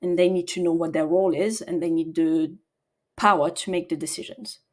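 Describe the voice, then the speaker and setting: A woman in her forties from the south of France, speaking as a podcast guest, thoughtful and quick to laugh. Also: lowish voice, fairly even intonation. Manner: thoughtful, laughs easily, restarts sentences. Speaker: guest, people and operations leader. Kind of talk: podcast interview.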